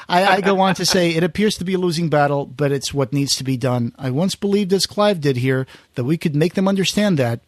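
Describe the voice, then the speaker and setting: Male podcast host, casual, reads out comments. Voice a bit high, recorded very dry. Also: fairly quick, no echo. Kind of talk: casual podcast talk, reading out listener comments.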